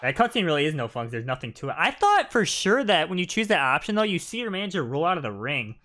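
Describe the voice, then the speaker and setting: A man's voice: a little high, sing-song, quick pace, pushed and bright. A man doing casual live commentary on video game footage, talking in an informal way.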